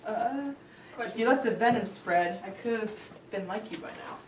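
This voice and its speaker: A woman's voice: medium pitch, moderate pace, natural voice. A woman playing an earnest, lovestruck teenage girl in a comic dramatic reading of a film script. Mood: questioning. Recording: noisy echoey room, far-off camera mic, crowd.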